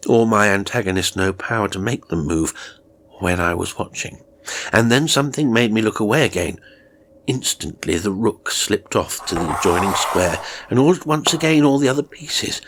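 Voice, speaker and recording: A man narrating a horror story in a measured, suspenseful and theatrical way. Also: fairly low pitch, a bit bright, a little hiss or hum. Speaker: audiobook narrator.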